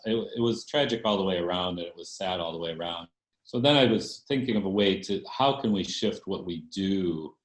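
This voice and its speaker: Low-voiced man in a roomy space. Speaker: theater director, interview guest. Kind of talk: conversational interview answers. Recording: roomy echo, faint music.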